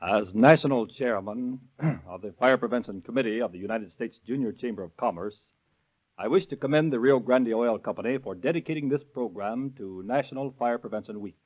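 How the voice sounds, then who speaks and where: A man's voice: medium pitch, fairly quick. A man giving a formal scripted address as a guest official, reading stiffly. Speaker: fire department captain, guest speaker. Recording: muffled vintage 1930s radio recording.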